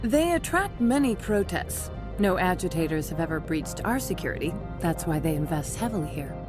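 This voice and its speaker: A woman's voice: lowish voice, big pitch swings, moderate pace, over music. A woman playing an efficient corporate receptionist in a video game, polite and informative. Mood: polite, professional.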